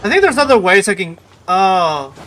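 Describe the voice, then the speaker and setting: A woman's voice: mid-range voice, sing-song, moderate pace, background noise. A woman streamer giving casual live gaming commentary, exasperated and self-blaming, repeating herself in mutters and outbursts. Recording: game music behind the voice. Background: game music.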